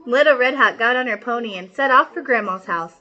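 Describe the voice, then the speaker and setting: A woman's voice: fairly high pitch, lively intonation, brisk, sharp tone, muffled recording. A woman storyteller reading a picture book aloud for children, with casual asides.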